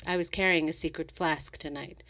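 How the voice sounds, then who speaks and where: A worried, gentle old man, read by a woman narrator in a low, soft voice. He coaxes and soothes without any fuss. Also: fairly even intonation, brisk. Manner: soft, coaxing.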